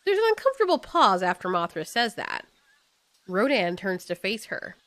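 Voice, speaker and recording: A woman narrating a scripted story in an expressive, storytelling style. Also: mid-range voice, normal speed.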